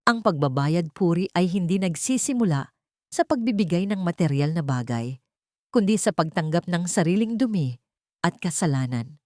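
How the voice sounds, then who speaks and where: Lower-pitched woman narrating an audiobook. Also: lively intonation, normal speed, a little mellow, dry recording, studio quality. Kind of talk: scripted dramatic narration of a love story.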